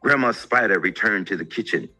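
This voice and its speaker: A man narrating a children's story as an expressive, theatrical storyteller. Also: lowish voice, fairly quick, soft background music.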